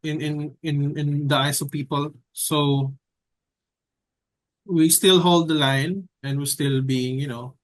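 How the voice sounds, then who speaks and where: A young man from the Philippines with a Filipino accent, talking casually in an interview in a hesitant, measured way with frequent pauses. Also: on the high side, fairly even intonation, rough recording. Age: young adult. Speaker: editorial cartoonist being interviewed.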